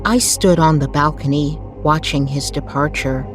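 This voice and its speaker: Low voiced lady reading a bedtime story slow and soothing, music behind her. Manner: soothing, measured, carefully phrased. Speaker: narrator. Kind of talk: scripted bedtime story narration.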